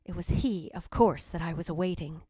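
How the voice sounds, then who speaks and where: A woman's voice: lowish voice, normal speed, dark tone, breathy edge, no room sound, studio quality. A woman playing the yearning, possessive ghost of a dead wife, narrating formally in old-time radio drama style. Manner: formal, literary, theatrical delivery.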